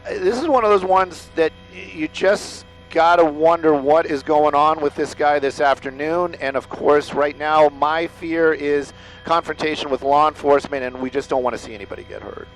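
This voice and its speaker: An American man working as an aerial news reporter, giving halting, repetitive, ad-libbed live commentary on breaking news. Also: fairly high pitch, a little hiss or hum, rough recording.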